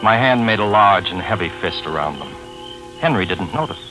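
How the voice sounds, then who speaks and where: An actor playing a wry, pompous gentleman sleuth in a 1940s radio drama, with formal, genteel diction. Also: fairly low pitch, lively intonation, moderate pace, close to an everyday voice, rough recording. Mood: measured, wry. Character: wry, pompous gentleman sleuth narrating his own caper. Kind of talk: 1940s radio drama acting, first-person narration. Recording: 1940s radio recording with music behind.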